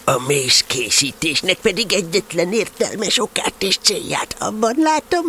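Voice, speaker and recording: Self-important little storybook bear, high voice, naive ponderous logic, bit of insect noise behind. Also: male voice, very animated, bright, forceful tone, heavily acted. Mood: earnest pondering. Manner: ponderous, pseudo-logical reasoning. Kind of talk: children's radio play acting.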